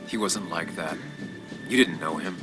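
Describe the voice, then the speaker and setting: An actor playing a determined, righteous investigative journalist in a video game, terse, restrained and intense. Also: male voice, low-pitched, measured pace, over music. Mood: grimly defiant. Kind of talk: video game dramatic acting.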